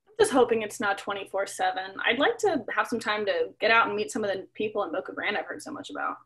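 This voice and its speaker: A woman's voice: mid-range voice, expressive, quick pace, fairly bright tone, lightly acted, low-quality recording. A young woman in her twenties playing a cheerful new arrival who works as a data analyst, chatty and easygoing, on a video call. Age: 20s.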